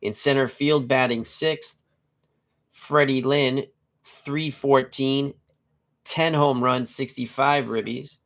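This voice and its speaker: A man's voice: mid-range voice, fairly even intonation, slow pace, fairly bright tone. Congested, nasal middle-aged man chatting. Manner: chatty and joking, reads player stats in short clipped bursts. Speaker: host of a tabletop baseball live stream. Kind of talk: casual live-stream chat and lineup reading.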